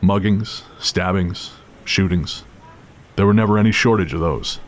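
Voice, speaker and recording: A man's voice: deep voice, unhurried, a little hiss or hum. A man narrating a scripted audio drama as the storyteller.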